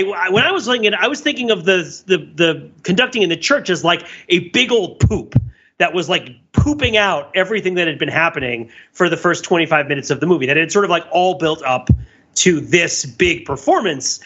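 A man talking through film and music on a discussion podcast, analytical and rambling, with animated asides. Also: high-pitched, breathy edge, dull audio. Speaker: podcast panelist.

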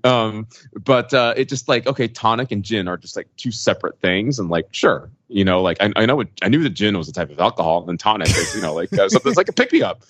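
A man's voice: lowish voice, big pitch swings, fast talker, fairly bright tone, dry recording. A man in his forties chatting casually as a podcast panelist, giving his opinions in an informal, conversational way. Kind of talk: casual chat. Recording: dull lo-fi sound, very dry.

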